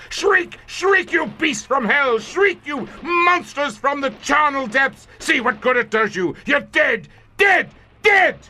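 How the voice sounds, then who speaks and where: An actor playing a grim, weary older commander of a secret monster-hunting police squad in an audio horror drama, speaking in florid, deliberate phrases. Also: male voice, very high-pitched, creaky, sharp tone, full character voice. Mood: gloating, frenzied. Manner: eloquent, florid, deliberate speech.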